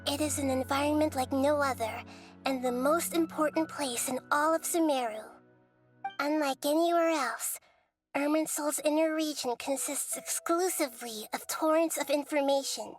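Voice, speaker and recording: A woman playing a calm, gentle, wise young ruler in a video game, speaking in a measured, earnest way. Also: high-pitched, faint music behind, close to an everyday voice.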